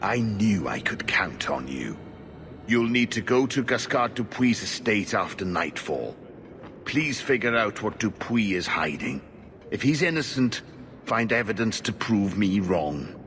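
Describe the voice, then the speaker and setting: Weary older investigator, low-leaning pitch, formal, measured, stubborn, game dialogue, clearly noisy background ambience. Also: male voice, very animated, fairly bright tone. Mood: earnest. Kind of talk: video game dialogue acting.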